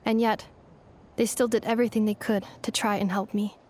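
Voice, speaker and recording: A woman playing a composed, sincere robot in a video game, with formal, precise and measured speech. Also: mid-range voice, flat delivery, a little mellow, natural voice. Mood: earnest, resolute.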